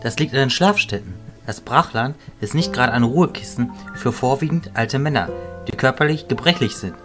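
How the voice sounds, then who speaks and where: A man playing a kindly, fatherly old wizard leader, speaking calmly and considerately in a fantasy audio drama. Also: medium pitch, brisk, over music, lightly acted. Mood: calm, friendly. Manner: calm, considerate.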